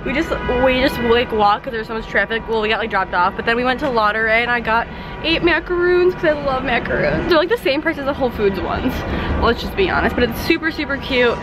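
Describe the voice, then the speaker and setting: A bubbly young woman talks straight into a phone held at arm's length, slightly high-voiced and full of fillers. She is out on a busy city street, and music runs underneath her chatter. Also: fast, poor audio. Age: young adult. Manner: bubbly, filler-heavy valley-girl chatter. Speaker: vlogger. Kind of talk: casual vlog chat.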